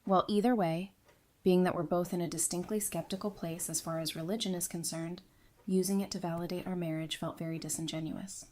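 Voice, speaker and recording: A woman's voice: on the low side, steady tone, fairly quick, mellow, natural voice. A woman playing an earnest, organized newlywed archivist in a fan audio drama, articulate and wry.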